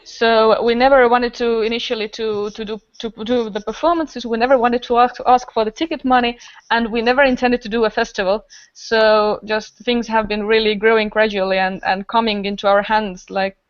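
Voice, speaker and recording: A woman's voice: on the high side, steady tone, normal speed, clean tone. A woman chatting casually as a podcast guest about her work as an organizer. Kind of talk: casual conversation. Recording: dull lo-fi recording.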